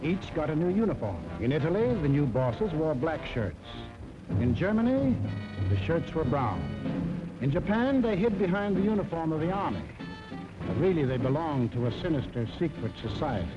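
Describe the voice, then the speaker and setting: High-pitched American man, 1940s propaganda narrator. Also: sing-song, normal speed. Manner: emphatic, dramatic. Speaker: documentary narrator. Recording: dull 1940s film soundtrack, loud music.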